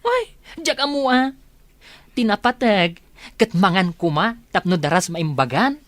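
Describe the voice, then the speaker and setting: A woman's voice: medium pitch, very animated, measured pace, no room sound. A woman acting a practical, helpful relative in an Ilocano radio family drama. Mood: matter-of-fact.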